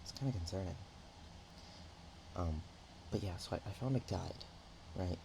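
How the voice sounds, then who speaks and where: A man voicing a shy, playful young dragon-dog girl in an ASMR roleplay, speaking softly and haltingly with naive affection. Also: sits low, vocal fry, background noise. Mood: curious, hesitant. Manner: hesitant, halting, giggly, soft-spoken. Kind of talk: ASMR roleplay, one-sided intimate chat.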